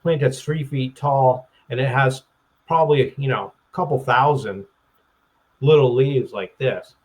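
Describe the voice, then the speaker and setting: A man speaking as a guest over a webcam video call, rambling and halting, clearing his throat and restarting his sentences. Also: medium pitch. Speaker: plant grower, interview guest. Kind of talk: casual interview chat.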